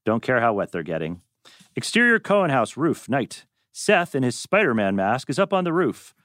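A man reads out script directions as narrator. His medium-pitched voice moves up and down with lots of expression, and the sound is dry and close. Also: dry recording. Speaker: narrator reading stage directions. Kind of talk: animated script reading.

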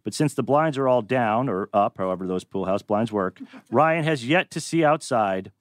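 A man's voice: medium pitch, lively intonation, moderate pace, dry recording, clean studio sound. A man hosting a live table read and narrating stage directions, tossing in wry, joking asides.

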